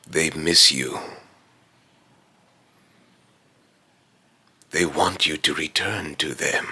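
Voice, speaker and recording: A man voicing a brooding otherworldly male spirit with a raspy voice, speaking solemnly and deliberately in a voice line recording. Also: extremely low pitch, bright, forceful tone, exaggerated voice. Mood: coaxing, menacing.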